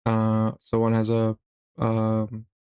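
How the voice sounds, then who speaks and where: A middle-aged man narrating a slideshow language lesson in a relaxed way, with many fillers and restarts. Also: on the low side, slow, clear, resonant tone, dull audio. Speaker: language teacher.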